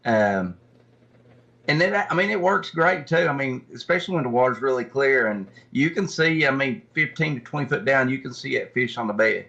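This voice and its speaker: A man's voice: mid-range voice, lively intonation, normal speed, creaky, pushed and bright. A man speaking as a guest, an angler explaining things casually in a plain-spoken, informal way, recorded in dull lo-fi sound. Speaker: guest angler, tournament fisherman. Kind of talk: casual chat, recounting and explaining.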